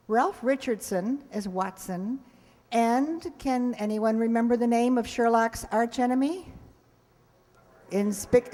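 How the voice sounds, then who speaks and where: Middle-aged woman, live show host, higher register, folksy scripted introduction, echoing hall. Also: darkish tone. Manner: folksy, lightly joking. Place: hall stage before an audience. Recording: reverberant hall, live stage recording.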